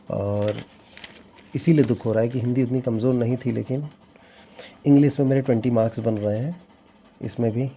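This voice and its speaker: A young man with a very dark, gravelly voice talks loosely to his viewers, rambling and restarting as he goes, with a regretful tone. The recording is dull and lo-fi, with a faint room hum underneath. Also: mid-range voice. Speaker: teacher exam candidate sharing his scores. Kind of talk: casual vlog talk to viewers. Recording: dull lo-fi sound with faint ambience.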